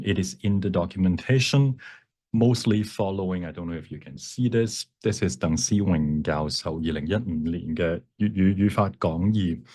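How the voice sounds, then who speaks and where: A man with a deep, soft-edged voice leads a live workshop. He explains step by step in a halting, explanatory manner, letting the ends of phrases trail away. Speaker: workshop presenter. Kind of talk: live software workshop teaching with Q&A.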